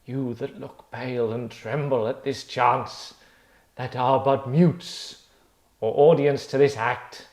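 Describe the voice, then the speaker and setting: A man playing a grave, dying tragic prince in a Shakespeare table read, declaiming verse slowly and weightily. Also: medium pitch, steady tone, a little mellow, roomy sound, natural voice.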